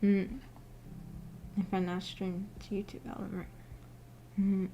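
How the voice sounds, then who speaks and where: A woman's voice: mid-range voice, flat delivery, a little slow, warm tone, highs cut off, a little hiss or hum. A woman hosting a casual livestream, reading out and answering viewer comments in a giggly, hesitant, self-effacing way. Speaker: livestream host.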